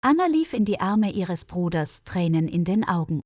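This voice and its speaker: A woman's voice: fairly low pitch, big pitch swings, normal speed, darkish tone, no echo. Woman storyteller, dry close studio sound. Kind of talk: scripted narration of a mystery story for German learners.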